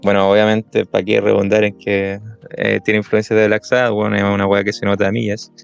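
Chilean young man, co-host, deep gravelly voice, loose and hesitant, faint music behind. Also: monotone, brisk, lo-fi sound. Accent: Chilean Spanish. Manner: laid-back, hesitant, slangy. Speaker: podcast co-host. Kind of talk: casual music chat.

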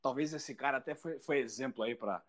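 A man's voice: mid-range voice, brisk. A man in a casual comedy chat, playful and telling corny jokes. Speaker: co-host.

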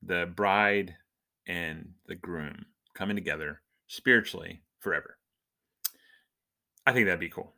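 Bright, forceful-voiced middle-aged man hosting a chatty podcast. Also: low voice, measured pace, gravelly, long pauses. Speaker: podcast host. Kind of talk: casual, chatty podcast talk.

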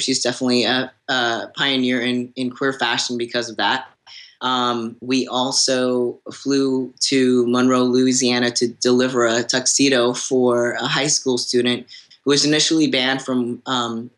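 A man's voice: mid-range voice, fairly bright tone. A man speaking as a guest on a podcast panel, talking casually and hesitantly, with many fillers and restarts. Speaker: panel guest, suit designer.

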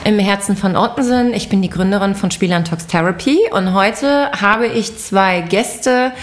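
A middle-aged woman hosting a casual podcast, slightly hoarse from a recent illness, chatty and laughing often. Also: medium pitch, moderate pace. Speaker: podcast host.

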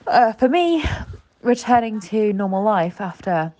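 A woman's voice: medium pitch, sing-song, unhurried, darkish tone. A woman recording a rambling, sleepy late-night voice note from bed, full of ums and restarts. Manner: chatty, many ums and restarts. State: sleepy, yawning. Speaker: co-host sending a voice note.

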